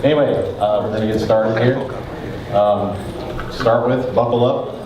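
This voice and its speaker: A man giving a joking, energetic conference talk, talking fast with a big voice. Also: medium pitch, background noise. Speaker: conference presenter. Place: conference hall with audience. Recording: echoey hall from far off.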